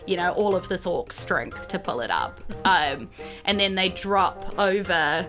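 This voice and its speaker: A woman's voice: lowish voice, animated, measured pace, bright, forceful tone. A woman with a New Zealand accent, casually narrating a tabletop roleplaying game as game master. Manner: casual, conversational. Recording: music behind the voice. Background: music.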